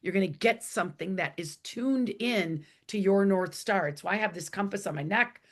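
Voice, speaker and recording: A woman with a hoarse voice, speaking as an interview guest in a plain, emphatic, conversational way. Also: medium pitch, normal speed. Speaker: interview guest, author and executive coach.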